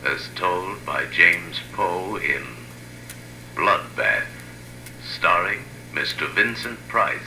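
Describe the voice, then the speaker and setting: An actor as a portentous male announcer introducing an adventure series in dramatic 1950s radio style. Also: sits low. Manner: slow, portentous. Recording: old 1950 radio, faint noise.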